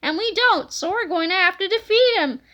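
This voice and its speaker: A woman voicing a plucky, eager young schoolboy in a storybook, earnest and excitable. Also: extremely high pitch, normal speed, dull audio, full character voice. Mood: determined.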